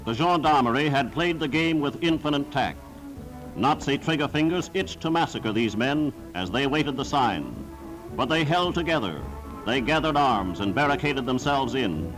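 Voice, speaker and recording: A man's voice: mid-range voice, lively intonation, moderate pace, over music, poor audio. A man narrating a scripted 1940s wartime newsreel in a solemn, formal, declamatory style, on a vintage film soundtrack.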